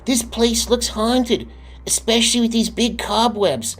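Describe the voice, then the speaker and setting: A man's voice: extremely high pitch, unhurried, a bit bright, voice pushed up. A man voicing a nervous young boy in a storybook read-aloud. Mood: scared, reluctant. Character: nervous young boy trick-or-treater afraid of haunted house.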